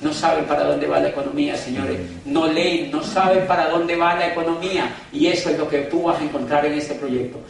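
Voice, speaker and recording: Emphatic high-voiced man in an echoey hall. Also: gravelly. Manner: emphatic, preachy, keeps addressing the crowd as "gentlemen"; dramatic slowdowns and pitch swings for emphasis. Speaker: business motivational speaker. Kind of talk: live seminar talk to an audience. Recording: echoey hall from far off, faint noise.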